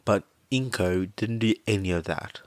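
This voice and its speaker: A man's voice: lowish voice, normal speed, dry recording. A man reading a story aloud as scripted podfic narration.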